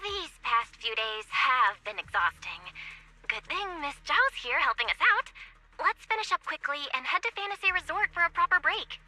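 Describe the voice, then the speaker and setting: Piercingly bright, high young teammate. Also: female voice, very animated, normal speed, soft background music, exaggerated voice. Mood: perky, concerned. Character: perky, practical young female teammate. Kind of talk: scripted game voice acting.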